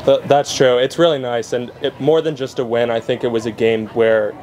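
A man in his twenties, a senior athlete, giving hesitant, halting answers at a postgame press conference. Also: mid-range voice, fairly even intonation, a little mellow. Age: 20s. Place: outdoor tent at a poolside press table.

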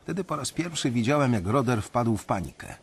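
A man's voice: medium pitch, animated, fairly quick, creaky, breathy edge. An actor playing a resentful young investment banker who tells his story bitterly in a radio drama. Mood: bitter recollection.

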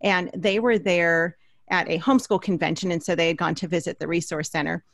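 A middle-aged woman hosts a conversational interview. Her voice sits a little low, and she talks in a chatty, storytelling way. The sound is close and dry, from a small studio. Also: fairly even intonation, brisk, gravelly, fairly bright tone, dry recording. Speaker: podcast host. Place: small indoor home studio. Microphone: large studio microphone up close.

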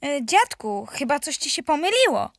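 A woman's voice: high voice, big pitch swings, moderate pace, vocal fry, a bit bright, no room sound, studio quality, full character voice. A woman voicing an inquisitive, sceptical young grandson in a children's radio play.

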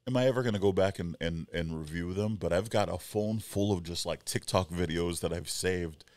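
A man in his forties, co-hosting a podcast in casual chat, rambling and animated as he tells stories with quoted asides. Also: sits low, brisk, no echo. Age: 40s. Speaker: podcast co-host. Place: small wood-paneled studio room. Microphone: headset and boom mic.

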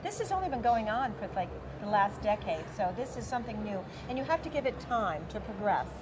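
A woman's voice: mid-range voice, big pitch swings, brisk. A middle-aged woman, an expo organizer answering interview questions with lots of fillers. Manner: talkative, many fillers, gestures while talking. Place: large convention center hall, indoors. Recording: busy convention hall crowd noise. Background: crowd chatter.

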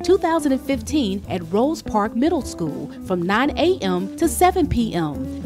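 Lively woman reading church announcements. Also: a little high. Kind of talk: scripted announcement narration. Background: music under the voice.

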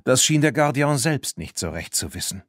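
A man narrates a children's audiobook in an expressive storytelling style. His mid-pitched voice moves up and down a lot. The sound is very dry. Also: normal speed, dry recording.

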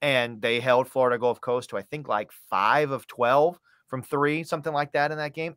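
A man's voice: medium pitch, a bit bright, no echo. A man in his thirties, a sports announcer, giving conversational analysis emphatically in short, clipped recaps. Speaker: radio play-by-play announcer, podcast guest. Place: indoor room, on a video call.

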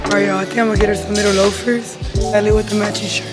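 A young woman vlogger chatting casually in slang to a phone camera, her voice still rough and recovering. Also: mid-range voice, little pitch movement, rapid-fire, over loud music. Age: young adult. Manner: slangy, playful. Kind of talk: casual chat. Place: inside a car.

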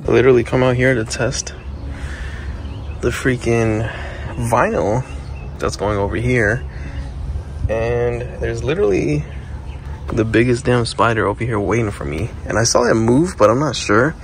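A man's voice: mid-range voice, background noise. A young man talking casually to camera as a car vlogger, rambling and leaning on words like "like" and "literally". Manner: rambling, heavy use of "like" and "literally". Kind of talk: casual vlog talk to camera.

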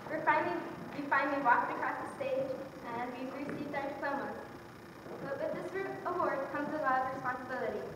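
A teenage girl with a Michigan accent reads her earnest graduation speech in a high, light voice. She is heard from far off through a podium mic, with long reverb filling the big hall. A steady background hiss sits under the sound. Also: a little fast. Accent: Michigan English. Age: late teens. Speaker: graduating student. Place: large auditorium stage. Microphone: podium mic heard from far camera. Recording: echoey auditorium, distant.

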